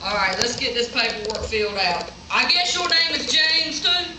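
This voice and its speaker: A woman's voice: a little high, normal speed. A woman, playing the exasperated straight role in a live comedy skit and laughing often. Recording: echoey live room, mic far off, noisy.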